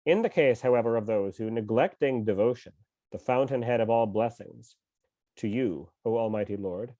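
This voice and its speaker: A man who is mildly ill with a cough reads a scripture translation aloud at home, in a measured, slow recitation with pauses. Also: mid-range voice, dark tone, no room sound, dull audio. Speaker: scripture reader and teacher.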